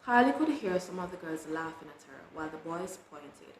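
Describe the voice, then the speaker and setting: A woman's voice: fairly low pitch, expressive, a little fast. Echoey woman reading a storybook. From Jamaica. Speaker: children's book author reading aloud. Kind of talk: expressive storybook read-aloud. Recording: roomy echo with clear background noise.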